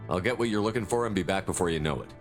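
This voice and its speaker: An actor playing a decisive human commander who speaks calmly and matter-of-factly. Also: male voice, sits low, soft background music, lightly acted. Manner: calm, brisk, matter-of-fact.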